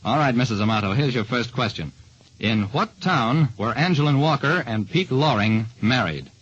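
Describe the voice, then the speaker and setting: A man's voice: medium pitch, animated, normal speed, fairly bright tone, breathy edge, dull audio. A man narrating and hosting in 1940s radio style, on an old radio recording. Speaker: detective show star and quiz host.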